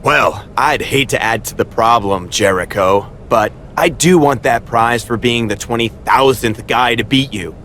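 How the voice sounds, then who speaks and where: A man's voice: mid-range voice, measured pace, hardly any pauses, sharp tone, a little hiss or hum. A man playing a wry, wisecracking wrestler in a video game cutscene. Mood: cocky.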